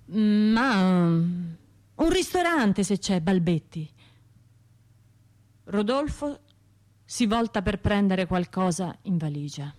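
An actress playing a wounded, bitter bombing survivor in a radio theatre monologue, confiding in colloquial speech. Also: female voice, mid-range voice, big pitch swings, takes it slowly, long pauses, darkish tone. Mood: haunted, sardonic. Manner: colloquial, confiding, wry, shifting between narration and imagined voices.

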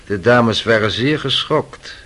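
An actor playing an urbane, mocking gentleman in a radio drama, speaking with ironic relish. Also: medium pitch, a little slow, natural voice. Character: urbane, mocking society gentleman, needling a sceptic.

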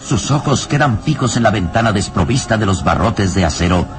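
An actor playing the solemn, suspenseful narrator of a horror radio serial, reading in a dramatic scripted style. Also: male voice, fairly low pitch, expressive, brisk, music in the background, close to an everyday voice. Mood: solemn, brooding.

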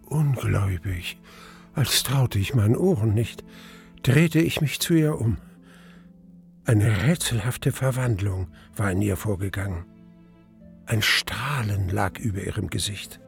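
Brooding, slightly gravelly male narrator. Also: on the low side, soft background music. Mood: wondering. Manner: measured, suspenseful storytelling. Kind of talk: first-person radio play narration.

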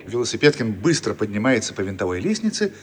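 A man's voice: fairly low pitch, big pitch swings, fast. A man reading stage directions as the narrator of a radio play. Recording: faintly noisy archive radio recording.

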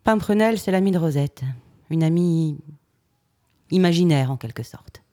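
A woman's voice: on the low side, expressive, moderate pace, mellow, close to an everyday voice. A woman playing a curt, defensive mother and grown daughter in an audio drama, speaking in a terse, guarded, irritated way.

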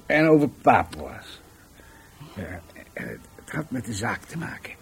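A man's voice: medium pitch, sing-song, unhurried, slight background noise. Fatherly, grumbling older police commissioner. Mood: drowsy, grumbling. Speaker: actor in a radio play. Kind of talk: Dutch radio drama acting.

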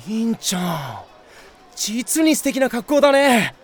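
A man's voice: very high-pitched, very animated, slow, husky, full character voice. Casting: a cocky young ladies' man for anime audio drama, suave and boastful, swooping melodic delivery, light music underneath. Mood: cocky, playful.

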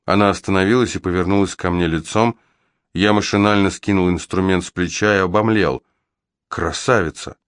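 Low-voiced man narrating a story. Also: fairly even intonation, normal speed, clear, resonant tone, dry recording, studio quality. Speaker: audiobook reader. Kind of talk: scripted first-person literary narration with character dialogue.

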